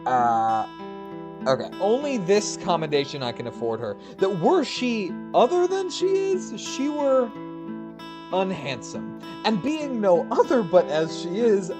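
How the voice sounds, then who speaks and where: A woman's voice: mid-range voice, sing-song, unhurried, over music. A woman playing a witty, scornful young male soldier in a Shakespearean radio comedy, with a sardonic, theatrical manner. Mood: dry wit.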